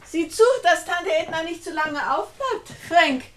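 High-voiced, defiant young niece. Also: female voice, lively intonation, a little slow, a bit bright, slight background noise, exaggerated voice. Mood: tipsy, defiant. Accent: standard German. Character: young niece, tipsy, frustrated, defiant toward her aunt. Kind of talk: radio play acting.

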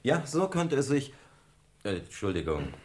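A man's voice: mid-range voice, big pitch swings, measured pace, a little mellow, a little hiss or hum. An actor playing an apologetic, over-eager male police assistant who is deferential in a German radio crime drama. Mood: eager, hesitant. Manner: deferential, interjecting.

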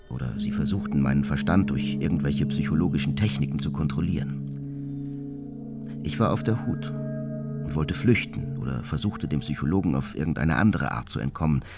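An actor playing a brooding, resentful, coldly self-important genius who recounts his life in a measured, formal monologue for a radio documentary. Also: low-pitched. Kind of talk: dramatized first-person monologue in a radio documentary. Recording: studio voice with music underneath. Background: music.

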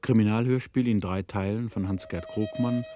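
A man's voice: on the low side, normal speed, mellow. A man working as a radio announcer, reading scripted drama credits and story recaps. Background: music.

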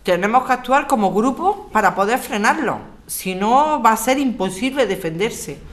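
Actriz haciendo de abogada serena y seria, acento castellano. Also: female voice, a little high, lively intonation, natural voice. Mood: firm, explaining. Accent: Castilian Spanish. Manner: measured, explanatory, articulate. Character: Alicia, composed, earnest lawyer rallying her neighbours. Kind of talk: scripted audio drama.

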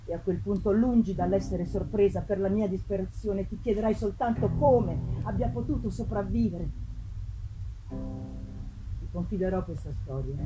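A woman voicing a tormented, dread-filled young gentleman, grave and theatrical, in a first-person gothic novel reading with long pauses. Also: medium pitch, close to an everyday voice, rough recording. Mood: grave, confessing. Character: tormented young gentleman haunted by dread, confessing to his beloved.

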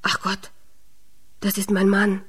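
A woman's voice: mid-range voice, monotone, slow, a bit bright, husky. Woman acting a scheming, flustered miller's wife. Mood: alarmed.

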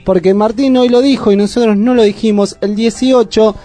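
A man's voice: sits high, steady tone, normal speed, dark tone, faint music behind. A man with an Argentine accent hosting a community radio show, talking in a rambling, chatty way. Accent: Argentine Spanish. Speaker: radio host. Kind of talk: casual radio chat.

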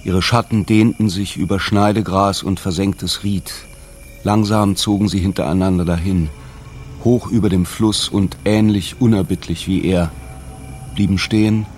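Casting: low male narrator for a radio drama, solemn and measured, faint ambience behind. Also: steady tone, darkish tone, slight background noise.

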